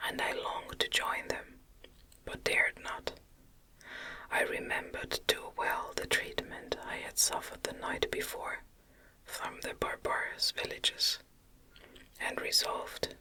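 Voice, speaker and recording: A woman reading a gothic novel aloud as ASMR, in a gentle, breathy, unvoiced whisper with measured delivery, close to the microphone. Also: poor audio. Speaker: audiobook narrator.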